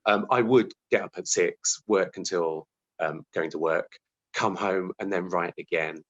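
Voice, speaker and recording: British guy, lowish voice, chatting in an interview about himself, thoughtful, kinda voices his own thoughts. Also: a little slow, a bit bright, lo-fi sound. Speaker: interview guest, TV writer. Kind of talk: conversational interview answer, recounting own career.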